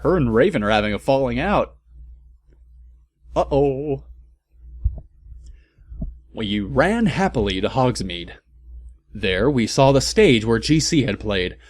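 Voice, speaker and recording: A man's voice: medium pitch, animated, slow, pauses often, no room sound. A man giving a theatrical comedic reading of fan fiction, breaking in with incredulous asides. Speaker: comic reader. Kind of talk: comedic dramatic narration of fan fiction with interjections.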